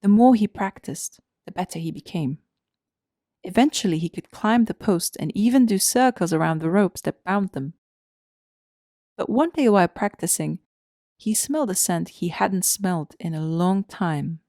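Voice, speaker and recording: Female narrator, storybook read: mellow, slightly low voice, faint music underneath. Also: moderate pace, dry recording, studio quality.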